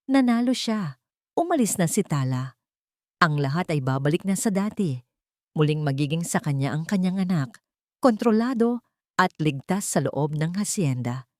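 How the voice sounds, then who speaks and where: A woman reading a dramatic scripted story as narrator, in a measured and solemn manner. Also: low-pitched, a little mellow, dry recording, clean studio sound.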